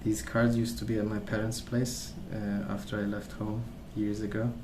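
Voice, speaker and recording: A young man rambling in a gentle, soft-spoken ASMR style, musing aloud without hurry. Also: fairly low pitch, level tone, moderate pace. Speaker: ASMR video creator. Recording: echoey room, clear background noise.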